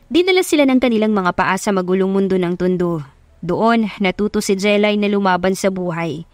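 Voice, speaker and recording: A woman narrates a love story from a script. Her pitch is medium and the sound is dry and close, with no room around it. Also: no room sound.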